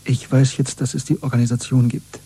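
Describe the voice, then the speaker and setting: An actor playing an earnest, troubled rebel searching for belief in a German radio play, with halting, intense delivery. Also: male voice, medium pitch, little pitch movement, dark tone, breathy edge. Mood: yearning, troubled.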